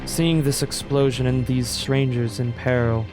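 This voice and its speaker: A man reading a scripted fantasy recap in a measured storybook manner. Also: mid-range voice, fairly even intonation. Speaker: narrator. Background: clear background music.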